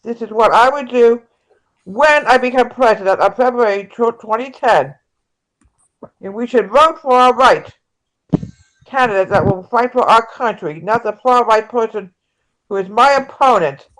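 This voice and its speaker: A woman playing an earnest progressive presidential candidate, reading a campaign speech haltingly from a script. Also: a little high, lightly acted, low-quality recording. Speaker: actor in an amateur table read.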